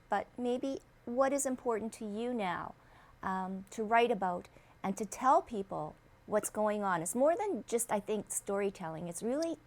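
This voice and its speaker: A woman hosting an interview show, upbeat and chatty with loose, rambling questions. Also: medium pitch. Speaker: show host.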